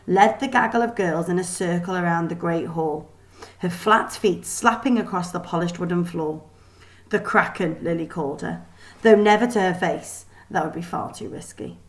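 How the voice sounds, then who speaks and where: A woman reading a children's story aloud in an expressive, deliberate way with dramatic emphasis. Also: on the low side. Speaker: teacher reading a book aloud.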